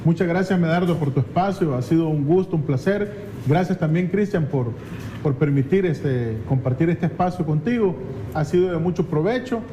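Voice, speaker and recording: A man's voice: a little high, expressive, moderate pace. A Salvadoran man speaking as a legislator in a political interview, explaining earnestly and often repeating phrases as he goes. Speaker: legislator, talk show guest. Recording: faint background noise.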